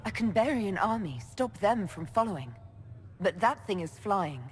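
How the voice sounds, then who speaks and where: Woman playing a lively, defiant hero. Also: mid-range voice, moderate pace, slight background noise, natural voice. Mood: determined, doubtful. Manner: direct, determined. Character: brave, defiant young female elf hero. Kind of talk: dramatic video game cutscene acting.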